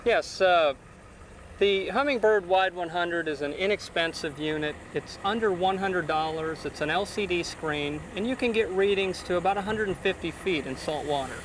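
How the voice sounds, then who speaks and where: Man, electronics retailer guest, slightly high, matter-of-fact, hesitant. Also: moderate pace, noisy background. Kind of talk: TV interview answers.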